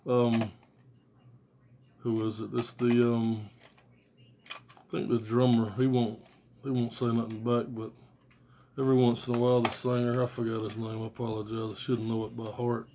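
A man's voice: medium pitch, a little mellow. An American man in his forties chatting casually and unscripted to camera as a music fan, blunt and slangy with swearing, recorded at home on a handheld-distance device. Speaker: music fan reviewing albums on video. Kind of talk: casual unscripted vlog chat. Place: small room at home. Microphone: phone or tablet at arm's length. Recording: noisy phone recording with clicks.

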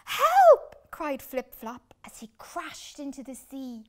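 A woman reads a children's picture book expressively, with deliberate dramatic pauses. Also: high voice. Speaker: children's storytime reader. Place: small furnished room indoors.

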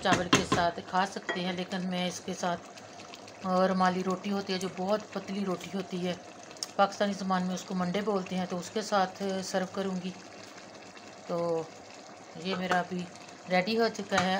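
A woman's voice: fairly low pitch, background noise. A woman, chatting viewers through cooking a dish in her home kitchen, recorded on a phone held over the pot. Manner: chatty, talks viewers through each step. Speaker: cooking channel host. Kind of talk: casual cooking vlog narration. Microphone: phone camera held over the pot.

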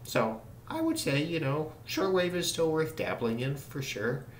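Guy rambling kinda haltingly thru a hobby demo, roomy sound, pretty noisy recording. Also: on the high side, lively intonation. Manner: halting, musing delivery with trailing thoughts. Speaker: hobbyist radio demonstrator. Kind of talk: casual unscripted demonstration narration. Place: indoors, small room at a desk. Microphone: camera mic some distance away. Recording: roomy, distant mic with radio static noise.

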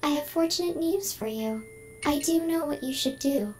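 A woman's voice: high-pitched, moderate pace, darkish tone, close to an everyday voice. A synthetic-sounding female voice playing a lonely AI trapped inside a computer in a game, polite, earnest and oddly emotional. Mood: anxious. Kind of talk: game character dialogue. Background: game music.

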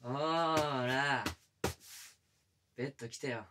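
A man's voice: mid-range voice, takes it slowly, background noise, lightly acted. A man playing a pushy, affectionate younger male coworker in love, murmuring teasingly in an intimate ASMR boyfriend roleplay, often close to a whisper. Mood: coaxing, affectionate. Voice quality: breathy, often dropping to a whisper. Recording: close binaural recording with background music.

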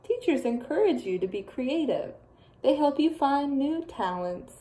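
Young lady teacher doing storytime, mellow highish voice, really expressive, little playful asides. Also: a little slow, rough recording. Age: young adult. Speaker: teacher reading to children. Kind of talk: children's picture book read-aloud.

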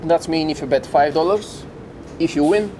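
A man in his thirties, a casino host, patiently explaining a table game in halting, non-native phrasing. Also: on the high side, noisy background. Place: casino floor on a cruise ship.

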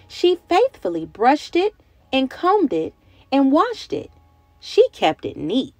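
A young adult woman narrating a picture book for small children in an expressive, dramatic way with emphatic, drawn-out words. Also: medium pitch, dry recording. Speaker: children's read-aloud storyteller. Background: music bed.

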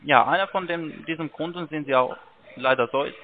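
A man making a scam phone call, halting with ums and broken grammar. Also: on the high side, very noisy. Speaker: scam caller. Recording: tinny phone line.

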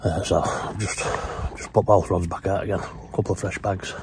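A middle-aged man with a very low, deep voice talks plainly into a phone held at arm's length. He trails off and fills gaps with "uh" as he chats to his viewers. Outdoor noise sits clearly under his voice. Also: steady tone, moderate pace, darkish tone. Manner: plain-spoken, trailing off with frequent "uh". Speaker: angler vlogger. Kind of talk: casual fishing vlog to camera. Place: outdoors by a lake, and inside a fishing shelter at night.

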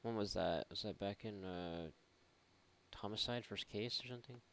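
A man's voice: fairly low pitch, normal speed, fairly bright tone, slight background noise. A man doing casual, irreverent let's play commentary, mocking and thinking aloud. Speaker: gaming YouTuber.

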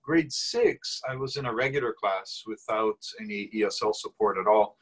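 A man in his forties from Brazil, with Portuguese as his first language, speaks on a webcam video call from a small room at home. His voice sits low and a little husky. He talks thoughtfully, often restarting and repeating words as he goes. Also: moderate pace. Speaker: guest.

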